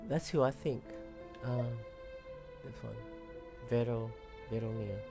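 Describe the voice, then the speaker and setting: A man's voice: mid-range voice, slow, creaky. A man streaming a game, giving casual playthrough commentary and reading some dialogue aloud. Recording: game music behind the voice.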